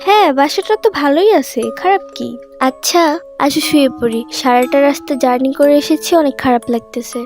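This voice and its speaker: Woman playing a high-voiced, quick-talking easygoing wife. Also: darkish tone, soft background music, natural voice. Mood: casual. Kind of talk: amateur animated cartoon dub.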